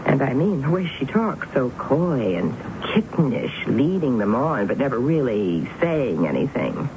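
An actress playing a stern, prim, obsessive unmarried sister in a radio mystery drama, with a clipped, dramatic delivery. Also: female voice, extremely low pitch, sing-song, a little slow, full character voice. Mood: agitated confiding. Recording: noisy, dull old radio broadcast.

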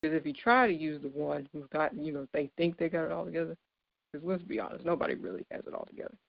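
Deep-voiced woman in her 30s, gravelly, chatty earnest sermon, dry dull audio. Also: expressive, fast talker. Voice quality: deep, low voice. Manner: conversational and earnest, laughs between points. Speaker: speaker giving a devotional talk. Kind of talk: informal devotional sermon.

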